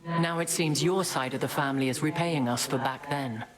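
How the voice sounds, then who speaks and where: A woman voicing a wise warrior goddess who speaks in a measured, diplomatic and formal way. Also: low voice, crowd noise, lightly acted.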